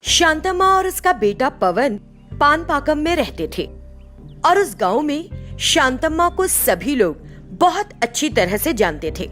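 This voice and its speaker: A woman's voice: sits high, big pitch swings, unhurried, fairly bright tone, faint music behind. A woman storyteller reading a scripted moral tale as narrator.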